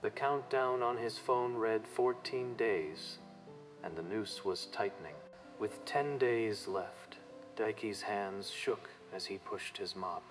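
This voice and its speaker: A man's voice: fairly low pitch, takes it slowly, over music, rough recording. A man narrating a dramatic manga story from a script.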